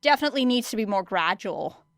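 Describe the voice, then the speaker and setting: Teenage heroine-in-training played by a woman, audiobook: wry, grudging, deadpan complaints, mid-pitched, dry sound. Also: fast talker, dry recording. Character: wry, grumbling teenage girl hero student testing gear. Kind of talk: audiobook character acting.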